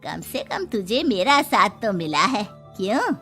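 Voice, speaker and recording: A woman's voice: medium pitch, very animated, unhurried, bright, forceful tone, faint music behind, heavily acted. A woman voicing a mild, caring older mother-in-law in a family moral story, coaxing and motherly.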